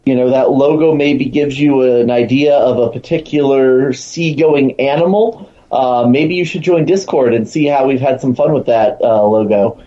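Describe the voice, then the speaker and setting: A man's voice: mid-range voice, normal speed, few pauses, warm tone. A man speaking as a guest in casual, promotional talk about a program he helps run, over lo-fi call audio. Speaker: show guest, program organizer.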